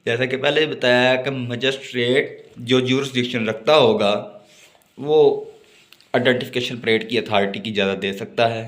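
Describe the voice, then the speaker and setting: A man with a Pakistani accent lecturing on notes, reading aloud haltingly and then explaining. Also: medium pitch, fairly even intonation, fairly bright tone, a little hiss or hum. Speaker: law lecturer.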